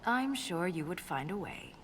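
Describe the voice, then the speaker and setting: A woman's voice: lowish voice, expressive, a little hiss or hum. Earnest, formal dwarven woman guide. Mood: concerned, wary. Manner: formal, measured, precise. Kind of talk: dramatic game cutscene acting.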